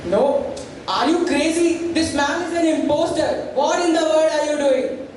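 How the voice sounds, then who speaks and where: Stage play ironmaster father, played by a student actor: high-pitched, stern, dismissive, slow declamation. Distant pickup, noisy hall. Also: a little mellow. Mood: angry, dismissive. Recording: distant echoey hall, clear background noise.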